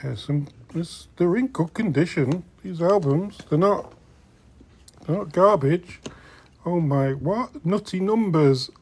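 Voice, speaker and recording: A man's voice: a little high, slow, a little mellow, husky. Chatty middle-aged man browsing and commenting. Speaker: host of a vintage collecting vlog. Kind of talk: casual shopping commentary to viewers. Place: inside a secondhand shop.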